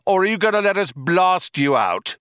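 A man voicing a shouting, indignant cop who is trigger-happy yet proud of his sensitivity, in a storybook reading. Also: fairly high pitch, lively intonation, unhurried, no echo, studio quality, pitched above the performer's own voice. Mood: shouting threats. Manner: bellowing, self-justifying.

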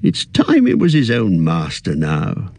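Fussy old hobbit voiced by a male narrator, high and quavering, husky edge, dry close sound. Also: wildly swooping pitch, a little slow, darkish tone, no echo, exaggerated voice. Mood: fond. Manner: high quavering, petulant and defensive. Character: fussy elderly male hobbit, turning possessive.

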